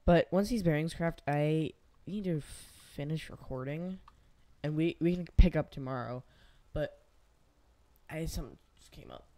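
Gravelly young man narrating gameplay. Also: a little high, normal speed, dark tone. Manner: rambling, thinks aloud while building. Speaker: gaming channel host. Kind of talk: casual gameplay commentary.

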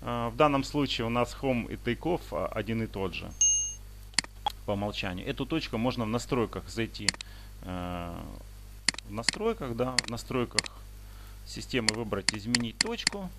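A man giving an unscripted software tutorial, explaining step by step in a halting way over a screen recording. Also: mid-range voice, expressive. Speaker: instructor demonstrating paragliding flight software. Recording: clearly noisy home screencast with clicks.

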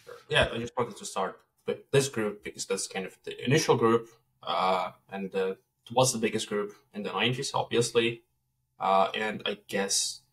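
A man in his late twenties with a native Russian speaker's English speaks in a low voice, measured and thoughtful, pausing often as he weighs his words. He sits a little back from his laptop mic on a video call from home, so his voice sounds slightly distant. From Estonia. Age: late 20s. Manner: measured, thoughtful, with frequent hesitations. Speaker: podcast guest. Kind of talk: reflective conversation. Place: room at home, indoors.

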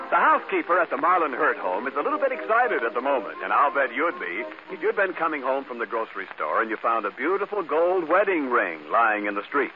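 A man's voice: mid-range voice, very animated, fast talker, hardly any pauses, over music. A man announcing and reading sponsor copy in polished 1940s radio style. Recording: muffled old radio, faint noise.

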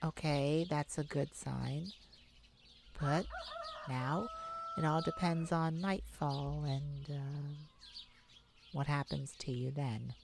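A woman's voice: sits low, fairly even intonation, takes it slowly, gravelly, long pauses, noisy background, full character voice. A woman playing a kind, anxious mage in an ASMR audio roleplay, talking hesitantly and intimately to a childhood friend. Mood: worried, tender. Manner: hesitant, stammering, soft-hearted worrier.

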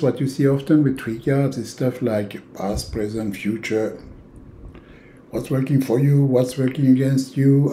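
A man in his sixties from Europe, a native French speaker with a French accent, giving an informal, halting instructional talk with long hesitations. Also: mid-range voice, dark tone, slight background noise. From francophone Europe. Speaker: tarot teacher. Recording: roomy, echoing indoor sound.

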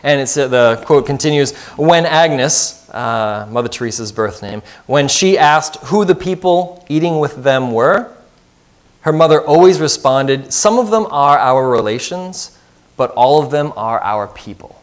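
A man's voice: medium pitch, lively intonation, measured pace. Earnest man preaching a sermon. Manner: earnest, asks the congregation questions. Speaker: pastor. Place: church sanctuary.

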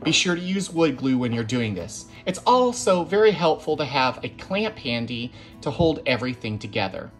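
A man's voice: medium pitch, sing-song, normal speed, few pauses. A man in his thirties hosts a hands-on tutorial in a casual, step-by-step way. His voice rings with the echo of an indoor workshop. Faint guitar music plays behind him. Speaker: woodworking tutorial host. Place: indoor woodworking shop. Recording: roomy echoing workshop sound.

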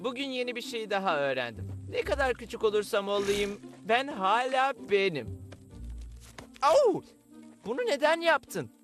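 A cocky young cartoon boy hero. The voice sits very high, with a confident, expressive delivery full of pluck. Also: measured pace, faint music behind, heavily acted. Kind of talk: animated series dub acting.

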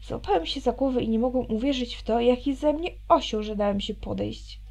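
A woman's voice: a little high, brisk, vocal fry, mellow. A woman reading a visual novel aloud expressively, with wry, teasing asides.

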